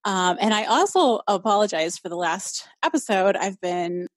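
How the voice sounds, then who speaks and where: A woman's voice: mid-range voice, animated, moderate pace, no echo. A young woman hosting a casual solo podcast, chatty and upbeat, with a slightly nasal voice and frequent "um"s. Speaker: podcast host.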